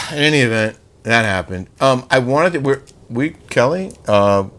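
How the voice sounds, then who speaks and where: A man's voice: medium pitch, animated, measured pace, a bit bright. A man co-hosts a casual chat with a medium voice that rises and falls a great deal. He explains things in a plain, matter-of-fact way. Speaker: co-host.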